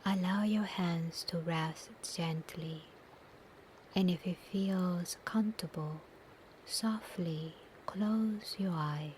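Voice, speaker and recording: A woman leading a guided meditation in a soft, breathy, near-whispered and soothing voice, with long pauses between short instructions. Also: on the low side, slight background noise, rough recording. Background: soft nature ambience.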